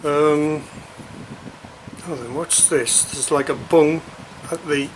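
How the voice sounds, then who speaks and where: A man giving a casual, unscripted unboxing commentary, thinking aloud in a halting, hesitant way as he reviews a product. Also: fairly high pitch, steady tone, slight background noise, rough recording.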